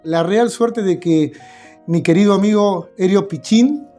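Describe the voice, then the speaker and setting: A man's voice: high voice, unhurried, faint music behind. A man with a Rioplatense accent hosting an interview in his guest's home, friendly and chatty, speaking to viewers. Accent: Rioplatense Spanish. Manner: warm, chatty, addresses viewers. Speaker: host, interviewer. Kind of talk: enthusiastic casual interview.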